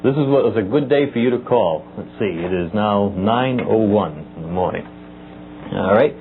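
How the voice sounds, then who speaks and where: Man, middle-aged, live call-in host, measured delivery, lo-fi narrow sound, light background noise. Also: fairly low pitch, lively intonation. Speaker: talk show host. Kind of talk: live call-in talk show chat. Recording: muffled lo-fi tape with hum.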